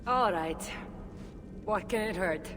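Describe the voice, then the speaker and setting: A woman's voice: medium pitch, takes it slowly, over music, close to an everyday voice. A woman playing a confident, playful Greek warrior adventurer in a video game, with a Greek accent. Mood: casual, hesitant. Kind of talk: video game cutscene dialogue.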